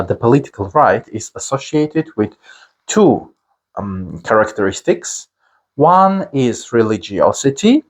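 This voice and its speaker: Armenian-accented male teacher, slow deliberate delivery, pausing often on fillers. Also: medium pitch. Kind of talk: explanatory lesson to camera.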